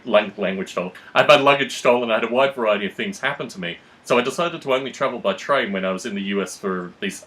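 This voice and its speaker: A man's voice: medium pitch, a bit bright, rough recording. Aussie guy hosting a talk show, articulate and analytical, explains stuff at length in formal wording. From Australia. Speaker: podcast host. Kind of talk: reflective discussion, long explanatory monologues.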